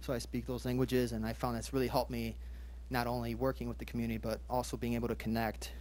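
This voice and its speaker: A man's voice: medium pitch, little pitch movement, fast, a little mellow, a little hiss or hum. A young man whose first language is Polish, a police officer introducing himself at a community meeting in an earnest, plain-spoken way with many fillers.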